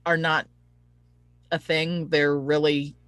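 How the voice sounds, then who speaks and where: A woman's voice: low voice, flat delivery, slow, fairly bright tone, dry recording. Woman interviewer with a dry, close sound. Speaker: interview host. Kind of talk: conversational interview.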